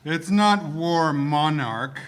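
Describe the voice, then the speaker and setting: A voice actor playing an excitable grown man who gushes about a fantasy show. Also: fairly high pitch. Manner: eager and gushing, rushing his words. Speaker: voice actor at a table read.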